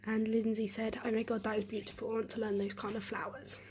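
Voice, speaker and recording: Woman reading out comments, soft and off-mic, faint hiss behind. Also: on the high side, level tone, fast talker. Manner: quiet, off to the side. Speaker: helper reading out viewer comments.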